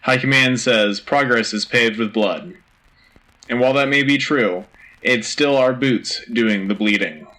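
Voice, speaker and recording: A man's voice: mid-range voice, a little slow, bright, forceful tone, rough recording. A man playing an earnest, rousing war news anchor on a sci-fi radio show, with dramatic, punchy delivery. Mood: grave, resolute.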